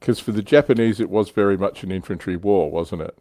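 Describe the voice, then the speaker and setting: Low-voiced man, dry close sound. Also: brisk, dark tone, no echo. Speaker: livestream host. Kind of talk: casual panel discussion of tabletop wargaming. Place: indoors, online livestream.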